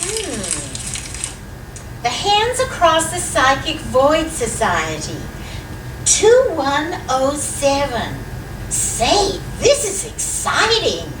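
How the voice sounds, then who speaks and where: An actress playing a jumpy, chattering wife in an old-time radio comedy, fretful and excitable, recorded live on stage. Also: female voice, high voice, extremely slow, heavily acted. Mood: curious, fretful. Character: jumpy, chattering wife, timid yet curious. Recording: echoey live stage, distant, noisy.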